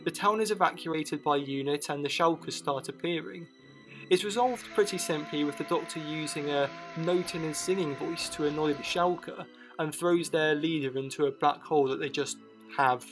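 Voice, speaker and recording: A man's voice: a little high, fairly bright tone. A man reading scripted narration for a documentary-style video essay.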